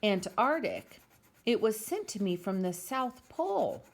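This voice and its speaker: A woman's voice: mid-range voice, big pitch swings, unhurried, vocal fry, pitched above the performer's own voice, exaggerated voice. A woman voicing a polite, proud man in a storybook, explaining things mildly. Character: polite, proud man, Mister Popper, owner of a penguin.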